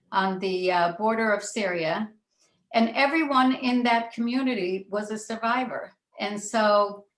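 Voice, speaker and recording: An older woman from Israel shares personal memories on a panel. She speaks deliberately, at a medium pitch, repeating phrases for emphasis. The recording has a noticeable room echo. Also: fairly bright tone. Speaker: panelist, child of Holocaust survivors. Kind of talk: personal recollection in a panel discussion. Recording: echoey room sound.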